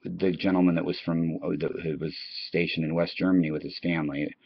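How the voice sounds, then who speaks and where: A man's voice: low voice, steady tone, a little fast, gravelly, no room sound. A middle-aged American man chatting and reminiscing to his listeners in a casual, rambling, anecdotal way that often circles back. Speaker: online channel host. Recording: dull, lo-fi, dry recording.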